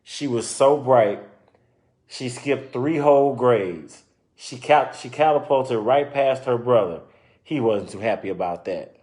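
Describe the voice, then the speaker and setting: A man reading a children's book aloud and pausing to explain and comment informally to his listeners. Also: medium pitch, a little mellow. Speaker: reader presenting a children's book. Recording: roomy, echoing sound.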